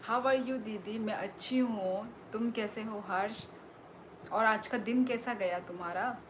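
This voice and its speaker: A woman's voice: mid-range voice, fairly bright tone, a little hiss or hum, low-quality recording. A young woman from Tripura with a Northeast Indian accent, chatting casually on a live stream while reading viewer comments aloud. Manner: chatty, reads out viewer comments and thanks them.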